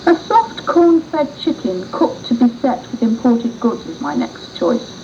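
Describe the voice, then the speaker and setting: A woman with a fairly high, very mellow voice reads a dramatised first-person story. Her delivery is formal and literary, on a muffled old 1980s radio tape. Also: very animated, unhurried, slight background noise. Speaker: amateur player reading a radio story.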